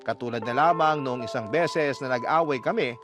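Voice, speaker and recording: A man's voice: medium pitch, moderate pace. A man reading a listener's letter aloud in first person, as radio drama narration. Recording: music bed under the voice.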